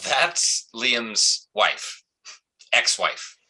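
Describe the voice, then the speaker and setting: An actor playing a blunt, weary cop in a staged reading, talking in a halting, colloquial way. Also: male voice, medium pitch, lively intonation, sharp tone, full character voice. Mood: exasperated. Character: blunt, gruff small-town male cop, weary and sardonic. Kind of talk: live staged play reading.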